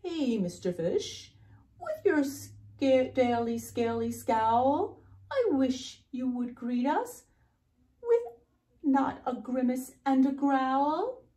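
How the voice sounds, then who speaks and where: Lady doing a gentle storybook jellyfish guy, earnest singsong, kinda high n mellow. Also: big pitch swings, slow pace, exaggerated voice. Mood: earnest pleading. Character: gentle floating male jellyfish, pleading for friendliness.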